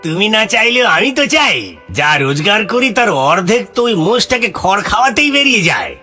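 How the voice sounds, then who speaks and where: A man's voice: sits high, big pitch swings, moderate pace, pushed and bright, faint music behind, exaggerated voice. A man voicing a greedy, grumbling poor farmer husband in a cartoon, with an exaggerated delivery. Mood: irritated. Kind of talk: cartoon voice acting.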